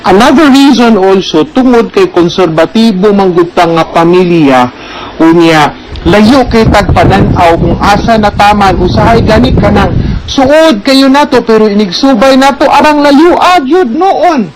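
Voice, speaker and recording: A man's voice: high voice, expressive, measured pace, noisy background. A man with a Filipino accent giving advice as a programme host, explaining conversationally, switching into English and adding frequent check-in tags.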